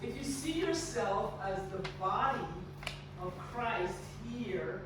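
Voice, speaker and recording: An earnest woman from Guyana coaches hospital staff, encouraging and often restarting her phrases. Her voice sits at a middling pitch and sounds far from the microphone in a very reverberant room. Steady background noise runs underneath. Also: slow. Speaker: staff trainer. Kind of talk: workplace training talk with faith themes. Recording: very echoey, distant, noisy room recording.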